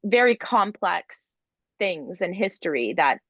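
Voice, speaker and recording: A woman's voice: fairly low pitch, sing-song, no room sound, dull audio. A woman from Ukraine, in her thirties, talking casually and eagerly as an interview guest, with many "like"s and fillers. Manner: fast, filler-heavy, enthusiastic with many "like"s. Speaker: guest entrepreneur. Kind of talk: conversational interview.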